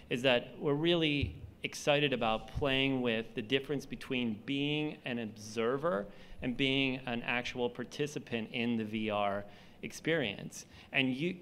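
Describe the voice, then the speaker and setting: Smooth-voiced man, fluent panel talk. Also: mid-range voice, fairly bright tone. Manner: fluent and enthusiastic. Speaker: panelist, VR studio founder. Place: conference stage, seated at panel table. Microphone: table mic on stand.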